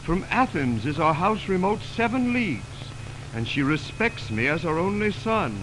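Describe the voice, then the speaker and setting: Young male romantic lead, high-pitched, declamatory and ardent, Shakespeare on vintage radio, strong background hiss. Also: big pitch swings, normal speed, a bit bright. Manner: declamatory, theatrical. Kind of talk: 1920s radio Shakespeare acting. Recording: noisy 1929 radio aircheck on film.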